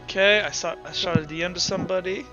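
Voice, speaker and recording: A man's voice: high voice, fairly even intonation, fast talker, pushed and bright, lo-fi sound, faint music behind. A man casually talking as game master of a tabletop roleplaying game.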